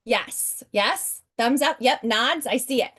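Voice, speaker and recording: Woman, 40s, upbeat chatty presenter pitching over a video call from home. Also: lively intonation, takes it slowly, a bit bright, slightly rough. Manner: upbeat, chatty, keeps addressing the audience directly. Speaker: network marketing presenter.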